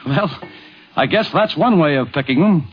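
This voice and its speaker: A man's voice: fairly high pitch, expressive, moderate pace. An American man hosting and narrating a radio documentary. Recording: dull 1950s radio broadcast.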